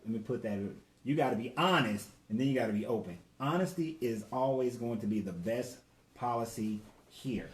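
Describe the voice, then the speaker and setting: A man's voice: medium pitch, darkish tone. A man in his forties co-hosting a casual live talk with his wife, laid-back and slangy, greeting viewers by name. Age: 40s. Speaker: co-host. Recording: echoey room with faint background noise.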